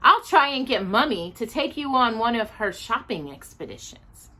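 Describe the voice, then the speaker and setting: Woman voicing a chatty, bright young girl. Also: fairly high pitch, very animated, moderate pace, voice pushed up, heavily acted. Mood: helpful. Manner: chatty, eager, reassuring.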